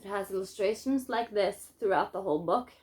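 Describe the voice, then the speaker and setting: A woman's voice: mid-range voice, fairly quick, darkish tone, a little hiss or hum. A woman from Norway with a Norwegian accent, a native Norwegian speaker, chatting casually as she presents books as a vlogger. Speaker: book vlogger presenting a haul. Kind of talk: casual chat to camera.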